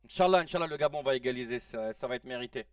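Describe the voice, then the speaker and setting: A man in his late thirties hosting a casual live stream, reacting excitedly to a football match in slangy chat and shouting at the players. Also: medium pitch, rapid-fire, smooth, dry recording, studio quality, far from the mic. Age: 30s. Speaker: live-stream watch-along host.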